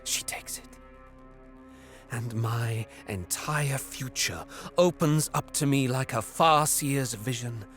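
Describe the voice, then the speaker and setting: A vengeful, covetous young alien prince performed by a man narrates an audio drama. His voice is mid-pitched and brooding, with slow, deliberate phrasing that can fall to a hiss. Music sits faintly underneath. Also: lightly acted. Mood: tense, covetous. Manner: brooding, deliberate, dropping to hissed whispers.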